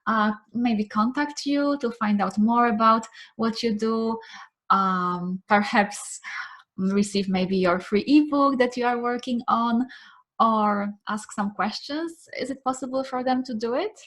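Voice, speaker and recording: A woman's voice: on the high side, a little slow, fairly bright tone. A woman hosting an interview on a video call, encouraging her guest with frequent affirming murmurs. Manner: encouraging, frequent mm-hmm backchannels. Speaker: interview host, coach. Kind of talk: casual interview conversation.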